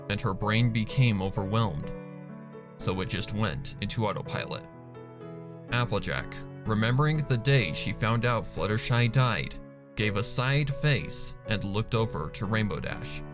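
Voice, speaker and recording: A man reads fan fiction aloud as a dramatic narrator, at an unhurried pace with very lively intonation. His pitch is average, and soft music runs underneath. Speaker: story narrator. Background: faint music.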